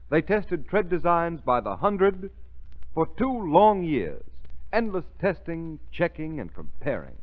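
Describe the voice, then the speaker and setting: Animated, high-pitched American radio announcer. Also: male voice. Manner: emphatic, dramatic, deliberate phrasing. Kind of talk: 1930s radio announcing, scripted sales pitch and narration. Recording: tinny, narrow-band 1930s radio recording.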